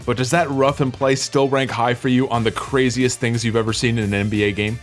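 A man's voice: medium pitch, fairly even intonation, a little fast, soft background music. A man hosting a sports podcast, putting prepared interview questions to his guest.

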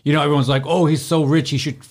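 A man's voice: on the high side, flat delivery, fast talker. A man hosting a podcast in casual chat, rambling with lots of fillers. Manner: chatty, rambling with many fillers. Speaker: podcast host.

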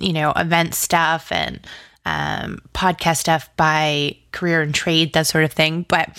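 A woman with a lowish voice talks as a guest in a casual interview. Her phrasing is loose and conversational, and the sound is dry, with no room reflections. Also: a bit bright, no echo. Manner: informal, conversational, peppered with "like". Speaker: interview guest, audio drama writer.

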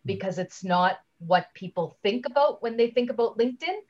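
A woman giving a conversational interview as a guest speaker, chatty and halting, often leaving sentences unfinished, on slightly dull audio. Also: mid-range voice, lively intonation, a little mellow. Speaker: guest, LinkedIn trainer and speaker.

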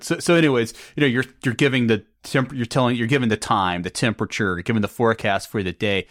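A man hosting a podcast interview, asking measured, thoughtful questions. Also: medium pitch, a bit bright, no echo, clean studio sound. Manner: measured, thoughtful, with small restarts. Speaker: podcast host.

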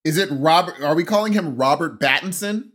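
Animated higher-voiced American guy. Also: fairly quick. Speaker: podcast co-host. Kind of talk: casual chat.

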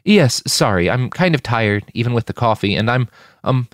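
A man's voice: mid-range voice, lively intonation, normal speed, dry recording, clean studio sound, voice pushed up, natural voice. A man voicing a nervous, devout young city woman in an audiobook, hesitant and eager to please. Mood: nervous, awkward. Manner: hesitant, awkward.

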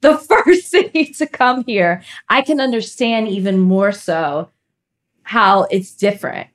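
A woman co-hosting a podcast, chatting casually and reacting excitedly to her guest. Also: medium pitch, unhurried. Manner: excitable, jumps in with gasps and reactions. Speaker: podcast co-host. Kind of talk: casual chat.

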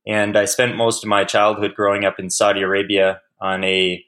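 A man's voice: lowish voice, completely flat delivery, moderate pace, a bit bright. A man being interviewed as a guest on a podcast, answering in a friendly, easygoing way with frequent restarts and fillers. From United States.

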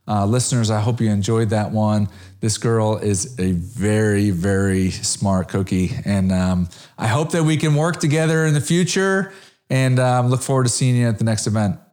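A man's voice: fairly low pitch, moderate pace, a little mellow. Middle-aged male podcast host. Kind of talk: casual interview.

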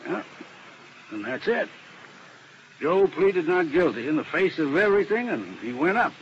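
A man's voice: fairly high pitch, sing-song, moderate pace. A fussy defense lawyer, rambling and wistful, his voice rising and falling a lot. The muffled old radio recording carries a faint hiss. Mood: rueful. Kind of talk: 1950s radio drama acting.

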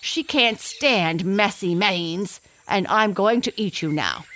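Storybook lion, vain and fussy, yells a lot; woman reader, slow drawl between outbursts. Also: medium pitch, lively intonation. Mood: fretful. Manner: yelling, blustering. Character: vain hot-tempered male lion, young.

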